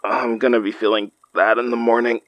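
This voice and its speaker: An actor playing a caring, wry man in a horror audio drama, with halting, sincere, naturalistic delivery. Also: mid-range voice, flat delivery, fairly bright tone, no echo, lo-fi sound, close to an everyday voice. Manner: halting, sincere, dry humour. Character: caring, wry, apologetic ordinary man in supernatural trouble.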